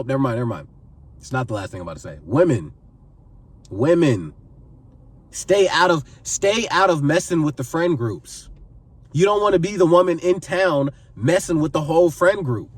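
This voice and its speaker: Husky young man giving earnest advice. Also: fairly high pitch, recorded from a distance. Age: 20s. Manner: pleading and repetitive, repeats phrases for emphasis. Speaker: vlogger giving life advice. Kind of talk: earnest direct-to-camera advice.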